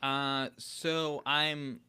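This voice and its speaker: A man from Ukraine who grew up speaking Russian and Ukrainian, answering haltingly with fillers as a panel guest. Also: mid-range voice, level tone, a loud crowd. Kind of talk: casual panel discussion.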